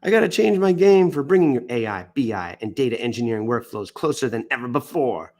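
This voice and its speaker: A man's voice: medium pitch, lively intonation, a little fast, darkish tone. Theatrical American man riffing through the news. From United States. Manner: theatrical, swoops into exaggerated emphasis and self-mocking asides. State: sick and tiring, coughing now and then. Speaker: livestream host reading news posts. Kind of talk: off-the-cuff livestream reading with jokey asides. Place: indoors.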